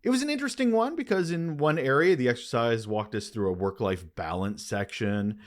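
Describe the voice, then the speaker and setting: A man hosting a podcast, delivering a conversational scripted monologue with emphatic, expressive phrasing and dramatic pauses. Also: on the low side. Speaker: podcast host.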